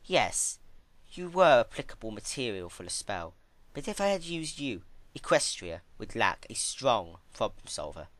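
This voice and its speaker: A man voicing a regal, cold, manipulative female alicorn pony ruler in a dramatic story reading, measured and condescending. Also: mid-range voice, very animated, fairly bright tone, no echo. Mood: cool composure.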